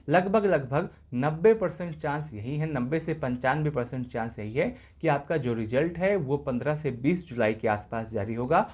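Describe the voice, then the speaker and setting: A man presenting exam updates as an informal explainer, going over points repeatedly in a reassuring way. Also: fairly high pitch.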